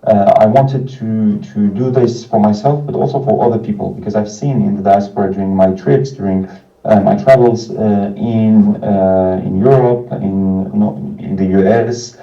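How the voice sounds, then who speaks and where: Dark-voiced, hesitant man. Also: fairly low pitch, steady tone, soft background music. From France. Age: 30s. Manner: hesitant, halting delivery with frequent pauses. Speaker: non-profit organization founder and representative. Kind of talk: informal prepared talk by video message. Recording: muffled, distant, echoey video playback.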